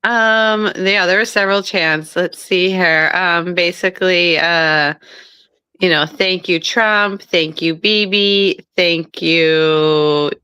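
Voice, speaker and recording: A woman co-hosting a live stream in a casual chat, loud and talkative and quick to laugh. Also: lowish voice, clean tone, no echo. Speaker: co-host. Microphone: streaming microphone.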